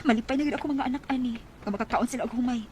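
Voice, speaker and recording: A woman's voice: on the high side, very fast, darkish tone, a little hiss or hum, close to an everyday voice. A woman playing a poor, overburdened mother fed up with her irresponsible husband, acting in a Filipino radio drama with a Cebuano accent. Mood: weary, hopeful.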